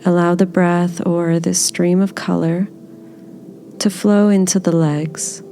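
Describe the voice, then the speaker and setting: Low, slow, mellow woman guiding meditation. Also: flat delivery, slight background noise. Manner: gentle, soothing, unhurried. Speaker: meditation guide. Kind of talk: guided body scan meditation.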